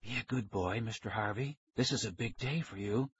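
A man voicing a soft-spoken older male scientist in a hushed, low murmur. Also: normal speed, husky, highs cut off, natural voice. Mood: soothing.

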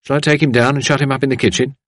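A man's voice: medium pitch, steady tone, rapid-fire, a bit bright, no echo, pitched above the performer's own voice, close to an everyday voice. A man voicing an obliging, plain-spoken male servant with a deferential, colloquial manner in an audiobook. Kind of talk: audiobook character voice.

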